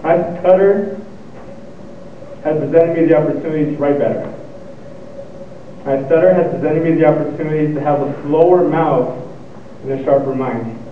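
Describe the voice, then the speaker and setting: A man's voice: fairly high pitch, fairly even intonation, a little hiss or hum. Young man who stutters, echoey hall. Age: young adult. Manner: stuttering, pausing and repeating words. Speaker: student speaker. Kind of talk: motivational speech. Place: lecture hall. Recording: echoey hall, tinny and far off.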